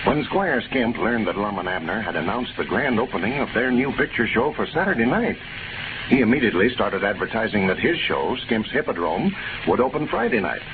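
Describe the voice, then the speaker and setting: A man's voice: on the low side, very animated, fairly quick. A man with an American accent announcing in 1930s radio style, reading scripted copy in a polished, emphatic way. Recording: scratchy, tinny 1930s radio broadcast recording.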